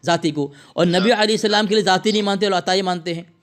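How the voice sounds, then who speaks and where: A man's voice: high voice, steady tone, fast talker, fairly bright tone. A man arguing religion on a phone call in a combative, abusive, lecturing way, repeating his phrases for emphasis. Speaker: religious debater. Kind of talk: polemical religious argument over a phone call.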